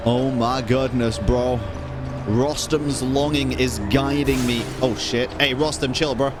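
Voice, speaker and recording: A man's voice: medium pitch, steady tone, unhurried. A young man giving casual gaming reaction commentary, slangy, profane and exclamatory. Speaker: streamer reacting to a game. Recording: game music behind the voice.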